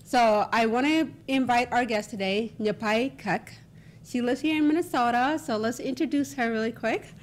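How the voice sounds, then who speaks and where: A woman hosting a talk show in an informal, encouraging, conversational way over a rumbly background. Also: normal speed.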